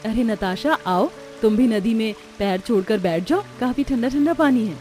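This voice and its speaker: Gentle, humble young sister for a cartoon voiced by a woman: soft, patient, pleading. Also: on the high side, lively intonation, normal speed, faint music behind, close to an everyday voice. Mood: friendly. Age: young adult. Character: gentle, meek, self-deprecating young dark-skinned sister. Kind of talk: Hindi cartoon story acting.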